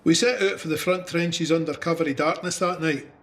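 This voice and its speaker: A man's voice: on the high side, fairly even intonation, fairly quick, fairly bright tone, close to an everyday voice. A man playing a young Scottish soldier who recounts trench warfare in a candid, wry, conversational way for an audio drama. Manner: conversational storytelling. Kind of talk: first-person audio drama narration.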